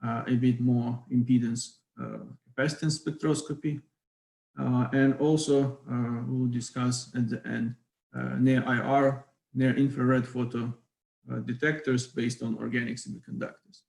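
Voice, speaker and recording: A man in his thirties with a Ukrainian accent, giving an online physics lecture. He hesitates often and fills pauses with "uh". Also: mid-range voice, level tone, gravelly, a little mellow, reverberant. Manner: hesitant, many fillers. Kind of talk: online academic talk.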